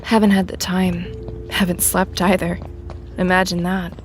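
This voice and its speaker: Audio drama role: actress playing a guarded, worn-out female official, slightly low voice, dry sarcasm, music underneath. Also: flat delivery, a little slow, close to an everyday voice. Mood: dry, wry.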